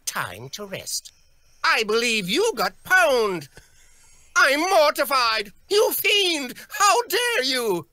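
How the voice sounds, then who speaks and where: A man's voice: very high voice, wildly swooping pitch, slow, sharp tone, dry recording, exaggerated voice. A man playing a grandiose, melodramatic vampire villain, delivering theatrical video game lines. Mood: indignant.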